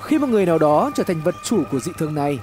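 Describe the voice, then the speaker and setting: A man's voice: a little high, big pitch swings, racing, a little mellow. A man reads scripted horror story narration in a dramatic, suspenseful storytelling manner. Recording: music behind the voice. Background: background music.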